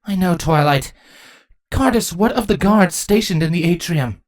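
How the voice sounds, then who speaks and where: A woman's voice: fairly low pitch, animated, normal speed, a little mellow, voice pushed up, lightly acted. A woman voicing a commanding changeling queen in a fantasy story, stern, grave and authoritative.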